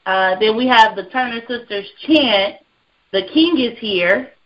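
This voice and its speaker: A woman in her twenties, a gospel singer guest, talks through her group's songs in a relaxed way, with small hesitations between titles. The sound is dull and lo-fi. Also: fairly high pitch, measured pace. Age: 20s.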